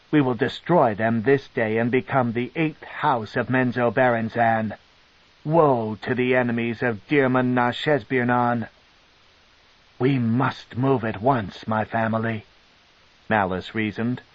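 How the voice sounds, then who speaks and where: A man voicing a cold, scheming female dark elf matriarch, with a slow, commanding and sneering delivery. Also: mid-range voice, lightly acted. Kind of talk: audiobook character reading. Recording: dull lo-fi tape.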